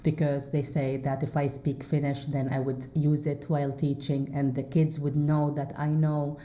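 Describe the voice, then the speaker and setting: Low, mellow-voiced woman with echo. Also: level tone, normal speed. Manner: hesitant, many fillers and restarts. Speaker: interview guest, English teacher. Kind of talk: casual interview answers. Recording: echoey room.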